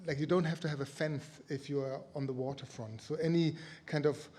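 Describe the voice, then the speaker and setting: Man, fairly high voice. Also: steady tone, brisk, darkish tone. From Denmark. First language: Danish. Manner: searching for words, self-correcting. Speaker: architect presenting. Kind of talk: conference presentation.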